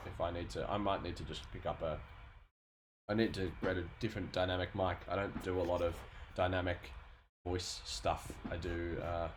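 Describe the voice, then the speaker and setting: A man in his thirties chatting casually and thinking aloud as he streams his work as a sound designer. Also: low-pitched. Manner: rambling, informal, thinking aloud. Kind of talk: casual livestream chat while working. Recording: noisy home stream mic, background noise.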